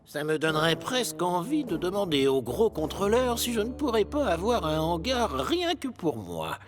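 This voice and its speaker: Pompous, high-swooping grumbling locomotive. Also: male voice, huge pitch swings, moderate pace. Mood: self-satisfied. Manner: pompous, indignant, theatrical. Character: vain, grumbling, haughty male steam locomotive. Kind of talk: dubbed children's cartoon dialogue. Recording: music behind the voice.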